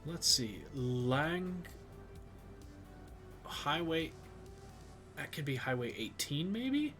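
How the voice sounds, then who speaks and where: A man with a stuffed-up nose, thinking aloud slowly with lots of fillers as he streams a geography game. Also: a little high, big pitch swings, pushed and bright, faint music behind. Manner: slow, musing, thinks aloud with many fillers. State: stuffed up, sniffly. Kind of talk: casual live-stream commentary.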